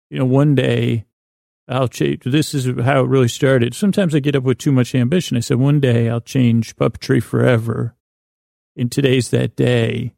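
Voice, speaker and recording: A man hosting a bedtime podcast with a rambling, absurd comic monologue, halting and often losing his thread, his words a bit slurred and mumbled. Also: medium pitch, creaky, warm tone, no room sound, clean studio sound.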